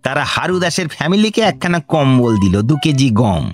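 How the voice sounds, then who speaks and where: An actor playing a wily village grain trader who flatters and pleads long-windedly in a radio comedy. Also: male voice, medium pitch, normal speed, soft background music, lightly acted. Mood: fawning. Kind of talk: Bengali radio comedy drama acting.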